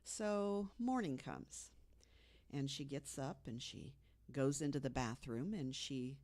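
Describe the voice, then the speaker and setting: A woman on a podcast, casually telling an urban legend, with lots of "you know" and hushed tones for the scary moments. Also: a bass voice, slow. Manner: chatty storyteller, fills with "you know", drops to hushed tones for the scary parts. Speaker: podcast co-host. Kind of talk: casual storytelling chat.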